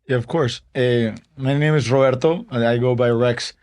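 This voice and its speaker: A young man from Honduras, a startup co-founder, explaining his company in a casual podcast interview with many pauses and fillers. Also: medium pitch. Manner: relaxed, explains step by step with many "um" and "like".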